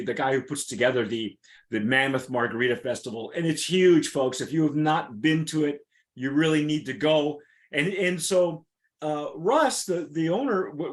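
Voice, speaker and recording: Guy hosting a chill interview, kinda high voice, rambles and restarts. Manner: rambling, lots of restarts and fillers. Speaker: host. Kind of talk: casual interview chat. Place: Southern California.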